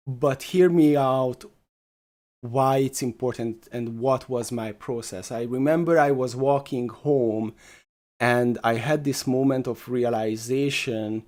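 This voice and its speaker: A man giving an explanatory self-development talk as a spiritual teacher, speaking in a deliberate, emphatic way and repeating key phrases. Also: mid-range voice, dark tone.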